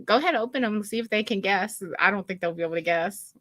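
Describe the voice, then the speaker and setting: A woman, co-hosting a casual live chat in an animated, chatty way. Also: mid-range voice, fast talker, no echo. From West Texas. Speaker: co-host.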